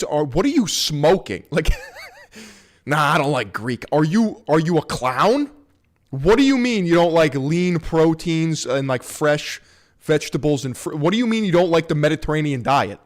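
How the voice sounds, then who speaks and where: A man's voice: on the high side, very animated, normal speed. A man from the United States giving casual reaction commentary as a streamer, slangy and joking, swearing freely.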